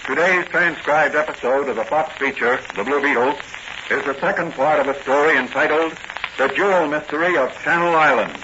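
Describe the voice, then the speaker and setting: A man's voice: on the high side, fairly quick, noisy background. A man announcing and narrating in the dramatic style of a 1940s radio serial. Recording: dull old radio transcription with music.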